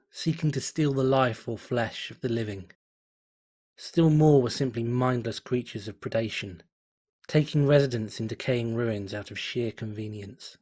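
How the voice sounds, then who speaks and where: A man narrating a fan story at home, reading in a measured, dramatic way. His voice sits at an ordinary, mid-range male pitch. He lets the suspense build steadily from line to line. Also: darkish tone, slightly rough. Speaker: fanfiction reader.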